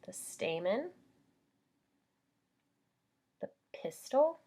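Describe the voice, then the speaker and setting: Woman, American accent, lively rising and falling pitch, slightly husky, unhurried, preschool teacher read-aloud, simple child-directed explaining. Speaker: Montessori preschool teacher reading a picture book to children.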